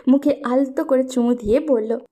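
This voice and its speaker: A woman's voice: high voice, moderate pace, mellow. A woman reading a scripted romantic story as its narrator.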